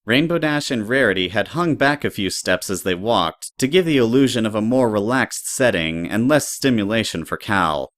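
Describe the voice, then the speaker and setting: A man narrates a story in a low-pitched voice. The delivery is scripted prose, recorded close and dry. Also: lively intonation, normal speed, hardly any pauses, a bit bright, no echo.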